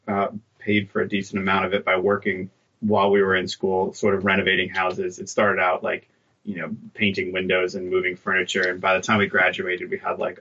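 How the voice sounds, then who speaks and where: Bright-toned easygoing man, dull line. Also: low-pitched, creaky. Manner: easygoing storyteller, self-deprecating humor. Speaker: guest. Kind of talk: conversational interview.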